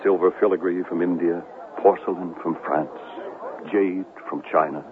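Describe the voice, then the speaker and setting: An actor playing a smooth, boastful, flirtatious New York television reporter in a 1970s radio drama, with a suave, condescending manner. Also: male voice, sits low, fairly even intonation, normal speed, very noisy, natural voice. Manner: suave, self-satisfied, condescending. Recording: tinny vintage radio broadcast, music.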